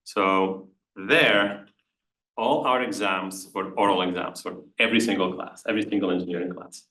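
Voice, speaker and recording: A man's voice: deep voice, moderate pace, pushed and bright. A man with a Belgian accent gives a research talk as an engineering professor, hesitant and full of restarts and filler words. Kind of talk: conference talk with audience questions. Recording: echoey room, voice from far off the mic.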